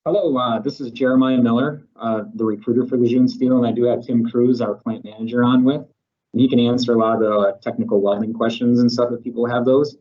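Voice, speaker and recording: Man, recruiter, slide talk, matter-of-fact, mid-pitched, muffled call audio. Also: steady tone, quick pace. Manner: plain, matter-of-fact, some fillers. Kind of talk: slide presentation. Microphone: video call.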